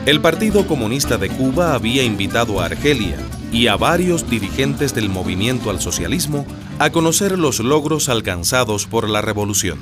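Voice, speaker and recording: A male narrator voiced by an actor tells a historical radio drama in a mid-range voice. His delivery is animated and dramatic, with a dry wit, and music plays clearly behind him. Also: medium pitch, moderate pace, lightly acted. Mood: informative.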